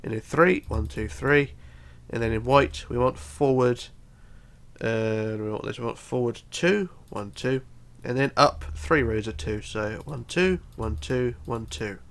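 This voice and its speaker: Guy doing a casual game tutorial, croaky creaky voice, bit bunged up, counts everything out. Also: medium pitch, a little slow. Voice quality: congested, bunged-up nose. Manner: counts aloud methodically. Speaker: tutorial host.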